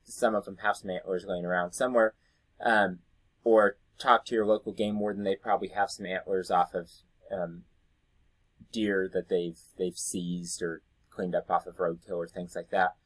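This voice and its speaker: A man's voice: low-pitched, level tone, moderate pace, no room sound, rough recording. A man giving an informal instructional talk, explaining as a teacher would and thinking aloud as he goes. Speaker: science teacher educator presenting to teachers.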